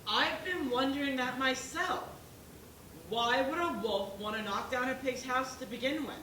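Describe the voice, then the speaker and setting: A woman's voice: high-pitched, moderate pace, sharp tone. A woman playing a reasonable pig juror who argues and questions in a stage play. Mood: reasoning, doubtful. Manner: questioning, argumentative. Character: reasonable pig juror, calmly doubting the wolf's guilt. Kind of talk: school stage play acting. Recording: roomy, distant, noisy stage recording.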